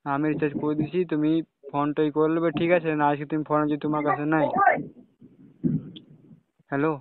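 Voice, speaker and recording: A man's voice: fairly high pitch, flat delivery, fairly quick, dry recording. A young man talking casually on a private phone call, often checking with short questioning tags. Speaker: caller talking to a romantic partner. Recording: dull, lo-fi phone call recording.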